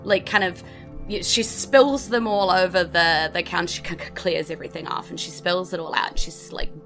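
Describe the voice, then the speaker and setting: A woman game master improvising narration for a tabletop game in a casual, halting, descriptive way. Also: fairly low pitch, fairly even intonation, fairly bright tone, soft background music.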